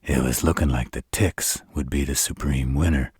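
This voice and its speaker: Man voicing an arrogant, very deep, husky vampire. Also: steady tone, a little mellow, dry recording, clean studio sound, exaggerated voice. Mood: wry, reflective. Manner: smug, taunting, slow drawl. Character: arrogant, teasing, flirtatious adult male vampire. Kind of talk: roleplay audio drama monologue addressed to the listener.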